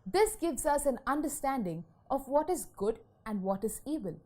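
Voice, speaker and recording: A woman's voice: high voice, expressive, moderate pace, darkish tone. A woman reading a scripted educational narration for children in an explanatory, storytelling manner. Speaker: lesson narrator.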